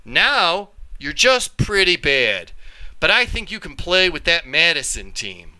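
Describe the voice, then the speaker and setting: A man reading aloud the storybook dialogue of a calm, plain-spoken father who coaches and encourages. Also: a little high, measured pace, clear, resonant tone, heavily acted, low-quality recording. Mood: gruffly teasing.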